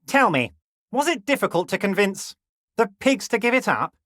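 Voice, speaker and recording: An actor playing a scheming, jovial middle-aged union boss in a video game, folksy, flattering and sly. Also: male voice, sits high, normal speed, dry recording, clean studio sound, heavily acted.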